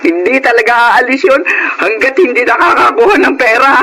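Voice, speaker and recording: A woman's voice: on the high side, expressive, a little fast, natural voice, rough recording. A Filipino woman acting an indignant middle-aged wife and mother in an emotional radio drama, scolding and fed up with her in-laws. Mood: bitter, worried.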